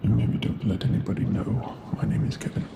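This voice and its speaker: A man playing a gentle, awkward Death in an ASMR roleplay, soothing a patient in a breathy, hesitant half-whisper. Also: on the low side, steady tone, a little fast, very noisy. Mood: sheepish. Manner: hesitant, soothing, repetitive, slightly formal.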